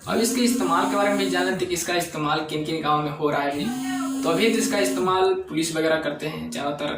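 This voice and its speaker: A man's voice: fairly high pitch, fast. A man giving an informal explainer as a presenter, often repeating and rephrasing himself. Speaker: tech channel presenter. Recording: echoey room with background noise.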